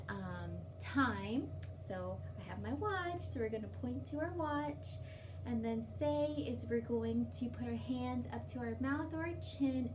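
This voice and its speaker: A woman hosting a children's library story time, speaking in a simple, encouraging, sing-song way to young children. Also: a little high. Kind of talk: children's story time with rhymes, signing and read-aloud. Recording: distant mic, noticeable background noise.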